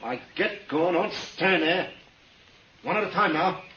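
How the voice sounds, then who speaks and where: A man's voice: sits high, unhurried, sharp tone. An actor playing a jumpy, menacing frontier gunman who barks short orders in a 1950s radio western. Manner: jittery, barking short orders. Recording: roomy, lo-fi, noisy 1950s radio recording.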